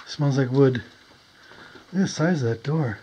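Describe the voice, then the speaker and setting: A man's voice: mid-range voice, normal speed, dark tone, slight background noise. A man exploring an abandoned mine, casually thinking aloud about what he finds. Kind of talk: casual narration while exploring. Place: inside an abandoned underground mine.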